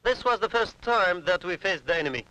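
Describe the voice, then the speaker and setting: A man's voice: high voice, animated, fairly quick, sharp tone, poor audio. A man giving scripted first-person political testimony as narration on a 1970s documentary soundtrack. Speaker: Palestinian militant narrator.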